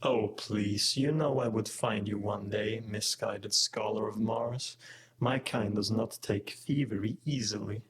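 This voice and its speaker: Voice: medium pitch, fairly even intonation, measured pace, breathy edge. An actor playing an arrogant, ancient robotic alien overlord in a sci-fi audio drama, condescending and menacing. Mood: haughty menace.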